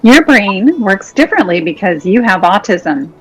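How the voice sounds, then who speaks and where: A woman's voice: medium pitch, big pitch swings, moderate pace, slightly rough, poor audio. A woman reading a children's picture book aloud. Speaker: reader. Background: soft music.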